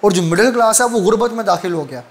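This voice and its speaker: A man's voice: high-pitched, animated, quick pace. A man with a Pakistani accent presenting news commentary.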